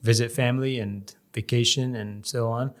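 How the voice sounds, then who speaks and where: A man from Canada, a guest in a casual interview, talking in a measured way and often pausing to search for words. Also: mid-range voice, fairly even intonation. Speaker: podcast guest, real estate developer.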